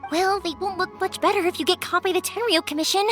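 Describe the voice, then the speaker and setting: A woman voicing a spirited, caring young fireworks maker in an anime-style video game, bubbly, impulsive and quick to reassure. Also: very high voice, fairly even intonation, a bit bright, soft background music. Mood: concerned.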